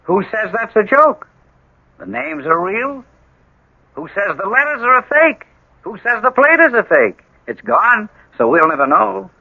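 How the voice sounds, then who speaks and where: An actor playing a self-justifying husband who argues with emphatic questions in a radio drama. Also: male voice, high-pitched, very animated, unhurried, breathy edge, no room sound, heavily acted. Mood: defensive, insistent. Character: evasive, self-justifying husband, coolly argumentative. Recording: muffled, slightly noisy old radio recording.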